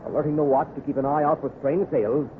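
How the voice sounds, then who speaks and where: A man's voice: fairly high pitch, fairly even intonation, fast talker, lightly acted. A man narrating a seafaring adventure in florid, dramatic old-time radio style. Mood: dramatic, suspenseful. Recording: tinny, noisy vintage radio broadcast.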